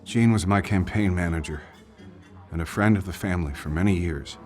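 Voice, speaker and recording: A troubled, well-to-do politician played by a male actor speaks in a low, measured voice. He is genteel and weary, a head of household in a video game drama, with music behind him. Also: a little mellow, natural voice. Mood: somber, regretful. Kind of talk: video game cutscene voice acting.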